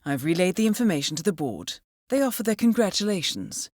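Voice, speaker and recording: Cool, expressive woman handler. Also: lowish voice, fairly quick, dry recording, clean studio sound. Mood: businesslike. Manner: cool, formal, precise, understated. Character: composed, dryly witty female intelligence handler briefing an assassin. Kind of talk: scripted video game mission briefing.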